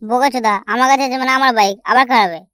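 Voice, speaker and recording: An actor voicing a brash, boastful young village troublemaker in a comedy cartoon, loud and scolding. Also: mid-range voice, rapid-fire, no echo, lightly acted. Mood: irritated boasting.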